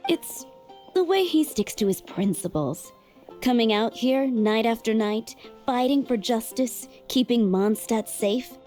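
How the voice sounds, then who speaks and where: A woman's voice: a little high, lively intonation, a little slow, warm tone. A woman voicing a wry, confident female tavern boss in a video game, playful and teasing. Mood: musing. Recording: music playing behind the voice.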